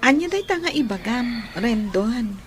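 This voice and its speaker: A woman's voice: fairly high pitch, animated, unhurried, a crowd in the background. A woman playing a tender young unmarried woman being courted, in Ilocano radio drama style with an Ilocano Filipino accent. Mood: gently questioning.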